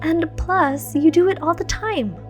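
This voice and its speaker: Woman voicing a high, feisty teenage girl heroine. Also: a little slow, faint music behind. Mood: indignant. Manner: animated, emphatic, argumentative. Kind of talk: acted storybook dialogue.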